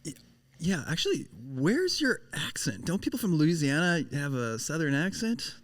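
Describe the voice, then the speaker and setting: A man's voice: a little high, big pitch swings, normal speed, fairly bright tone. A man hosting a podcast, asking playful questions in casual chat. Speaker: podcast host.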